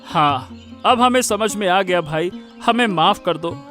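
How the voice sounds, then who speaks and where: A man's voice: sits high, animated, a little slow, bright, forceful tone, faint music behind, exaggerated voice. A man voicing a remorseful young village man in a cartoon, speaking plainly and apologetically. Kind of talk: Hindi cartoon dubbing.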